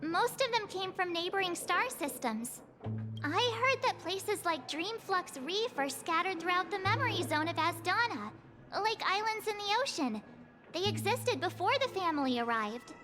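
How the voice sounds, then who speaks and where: A woman voicing an upbeat young woman adventurer in a game, with a bright, eager, chatty manner and game music behind her. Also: very high-pitched, animated, moderate pace. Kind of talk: game voice acting. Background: game background music.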